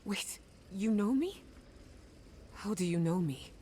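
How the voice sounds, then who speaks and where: A woman's voice: mid-range voice, lively intonation, slow pace, dark tone, slight background noise. An actress playing a haunted, defiant woman in a video game, intense and emotional in her delivery. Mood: stunned wonder. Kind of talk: video game dramatic dialogue.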